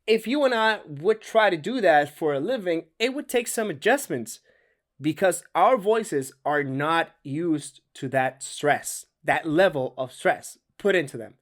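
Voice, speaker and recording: A man's voice: fairly high pitch, expressive, measured pace. A man with a Spanish accent, a native Spanish speaker, giving a casual diction lesson in conversational English with emphatic stretched words and some stumbles. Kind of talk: casual instructional talk to camera.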